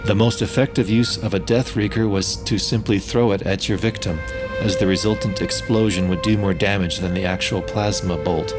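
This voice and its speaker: A man playing a spaceship pilot who tells his own adventure in a measured, dry storytelling manner for a sci-fi audio drama. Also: lowish voice, close to an everyday voice. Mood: dry, informative. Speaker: narrator and lead actor. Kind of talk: first-person sci-fi audio story narration.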